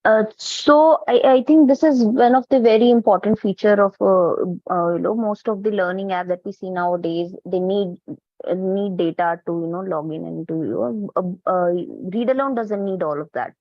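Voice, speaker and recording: A woman with an Indian accent answers as a webinar panelist, haltingly repeating words as she goes. Her pitch is average, and the online call sound is dry and somewhat dull. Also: dry recording. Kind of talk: answering an audience question.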